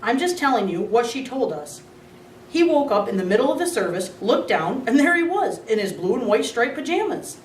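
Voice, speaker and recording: A woman's voice: fairly high pitch, very animated, moderate pace, roomy sound, distant mic, a little hiss or hum, voice pushed up, poor audio. A woman voicing an easygoing father who tells stories in a children's storybook reading. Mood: fond.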